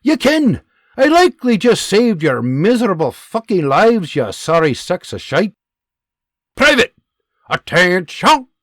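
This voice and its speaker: A man voicing a gruff Scottish army sergeant who barks orders and scolds his men in a Scottish accent. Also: high-pitched, very animated, measured pace, fairly bright tone, no room sound, studio quality, voice pushed up, full character voice. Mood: barking. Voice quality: barking, scolding.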